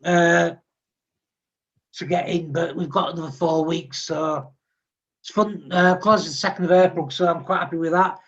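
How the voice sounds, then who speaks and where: A man from England chatting wryly on a casual panel and pitching his own project. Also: steady tone, moderate pace, muffled recording. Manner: wry, teasing, self-promoting. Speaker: panel host, comic artist.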